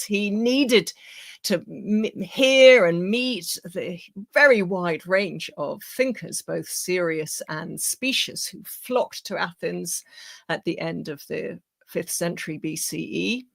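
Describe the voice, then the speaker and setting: A woman lecturing on philosophy, her voice croaky as she recovers from illness, speaking in a lively, wry way with many fillers. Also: lowish voice.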